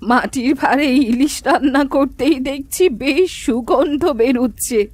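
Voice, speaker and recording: High-pitched bossy old granny acted by a woman. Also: normal speed, no echo, lightly acted. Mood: chatty. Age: older. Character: bossy, busy old village granny who cooks hilsa. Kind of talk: animated fairy-tale cartoon dialogue.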